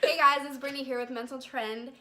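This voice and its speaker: Bright, eager young woman interviewer. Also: sits high, steady tone, quick pace, a little hiss or hum. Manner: eager, chatty, reacts with exclamations. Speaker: interviewer, show host. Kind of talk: casual interview chat.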